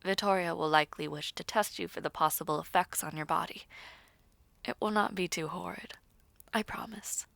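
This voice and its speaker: A woman voicing a haughty, formal young princess in a fantasy audio roleplay, with a creaky, often breathy voice and a dry, disdainful manner. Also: lowish voice, a bit bright, no echo. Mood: measured, reassuring. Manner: formal, measured phrasing.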